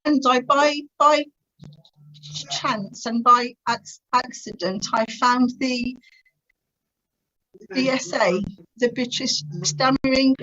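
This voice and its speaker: A woman's voice: high voice, slow pace, pauses often, pushed and bright, dull audio. A woman in her fifties giving a personal conference talk over a video call, stammering with repeated sounds and blocks. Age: 50s. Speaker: conference speaker.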